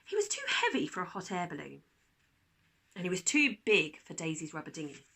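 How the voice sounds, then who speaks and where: A woman narrating a children's storybook like a teacher reading aloud, expressive, with dramatic pauses. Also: lowish voice, breathy edge.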